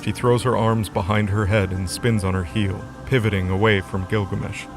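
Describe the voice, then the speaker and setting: A man's voice: lowish voice, little pitch movement, normal speed. A man narrating a scripted fantasy story as the narrator of an audio drama. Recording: music under the voice.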